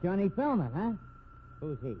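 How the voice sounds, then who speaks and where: An actor playing a slangy, bullying police detective in an old radio crime drama, with sardonic tough talk. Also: male voice, high-pitched, big pitch swings, moderate pace. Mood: exasperated. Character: gruff, streetwise, bullying male police detective. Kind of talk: 1950s radio drama acting. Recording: dull, noisy old radio recording.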